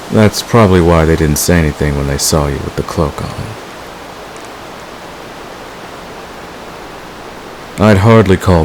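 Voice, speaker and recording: A man playing a smug, seductive fantasy villain who is secretly in love, in an intimate, teasing and unhurried audio roleplay. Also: rumbling low, noisy background. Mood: calm, reassuring. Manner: teasing, unhurried, murmuring to a lover. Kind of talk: romantic audio roleplay, intimate ASMR acting.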